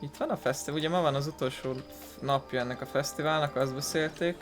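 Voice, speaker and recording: A man's voice: on the high side, steady tone, fast. A man giving casual let's play commentary as he plays a video game. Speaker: gaming video maker. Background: game music.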